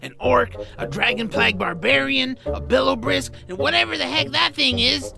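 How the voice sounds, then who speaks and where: A man playing an anxious, complaining troll in an audio drama, with a gravelly, fretful voice. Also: extremely high pitch, normal speed, heavily acted. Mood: anxious grumbling. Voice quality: gravelly, creaky. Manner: fretful, grumbling. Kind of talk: audio drama character acting. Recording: music behind the voice.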